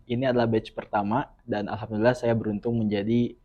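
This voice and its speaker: Young man, earnest and measured. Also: on the low side, level tone, lo-fi sound. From West Java, Indonesia. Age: young adult. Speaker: student guest. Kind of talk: podcast interview.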